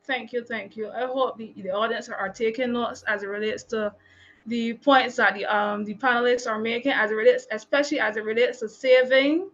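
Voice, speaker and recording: A woman's voice: fairly high pitch, fairly even intonation, brisk, fairly bright tone, highs cut off. A woman from Barbados moderating a panel discussion, encouraging the panelists and repeating their key points for the audience.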